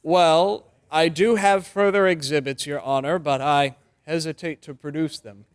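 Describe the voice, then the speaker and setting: A man's voice: on the high side, fairly even intonation, measured pace, smooth, lightly acted. A man playing a confident, persuasive defense lawyer in an old-time radio drama, speaking in an emphatic, oratorical way. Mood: confident, formal.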